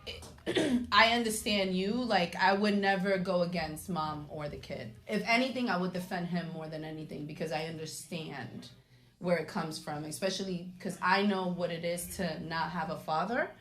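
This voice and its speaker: Lower-pitched woman in an echoey room. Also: moderate pace. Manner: candid, loud and teasing at times. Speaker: podcast co-host. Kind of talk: casual chat.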